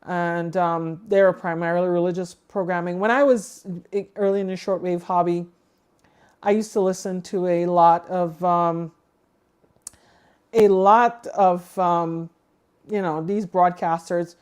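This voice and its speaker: A woman's voice: fairly low pitch, fairly even intonation, unhurried, a little mellow, smooth. Woman casually presenting hobby news. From outside the United States. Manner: conversational, explanatory, thinking aloud. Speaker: co-host of a ham radio livestream. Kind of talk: casual news commentary on a livestream.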